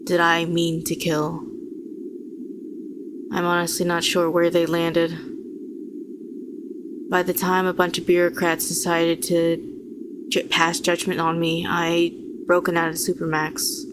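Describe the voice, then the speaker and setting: A woman playing a weary, bitter telepathic villain who was once a hero, speaking to the listener in a sardonic, deliberate way in an audio roleplay. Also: on the low side, monotone, background noise. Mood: bitter, reflective. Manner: deliberate, sardonic, rhetorical questioning, condescending endearments.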